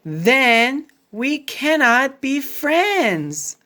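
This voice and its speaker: A woman's voice: sits high, very animated, sharp tone, pitched above the performer's own voice, full character voice. Woman voicing a melodramatic, anxious elephant. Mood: despairing. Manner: halting, drawn-out, dramatic delivery. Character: anxious, dramatic male elephant with sneezing fits. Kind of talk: children's picture-book read-aloud.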